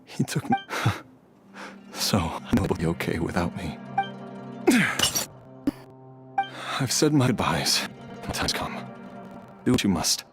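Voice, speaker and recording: A man playing an ailing, resigned husband and father in a game drama, gentle, halting and weary. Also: deep voice, very animated, over music. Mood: bittersweet.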